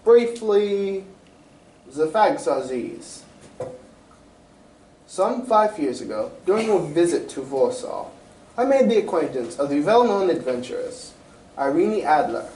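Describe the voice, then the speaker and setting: A man playing a proud, secretive king in a radio drama stage reading, with a formal, stately, halting manner. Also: a little high, very animated, darkish tone, a little hiss or hum. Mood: proud, uneasy. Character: proud, secretive male Bohemian king in disguise. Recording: distant echoey stage recording.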